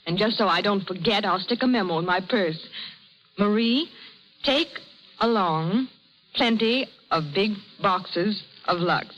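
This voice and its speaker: A woman plays a breezy, well-to-do shopper in an old radio advert. Her voice is mid-pitched, heard through a dull, lo-fi recording. She sounds agreeable and decisive. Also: a little slow, fairly bright tone, close to an everyday voice. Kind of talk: 1930s radio advertisement acting.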